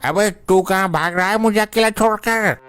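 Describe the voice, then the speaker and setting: A man's voice: high-pitched, normal speed, over music, close to an everyday voice. A man voicing a shameless, boozy village layabout with colloquial, blustering banter in a comedy cartoon. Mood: indignant, uneasy. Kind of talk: Hindi comedy cartoon dialogue. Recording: clearly noisy background.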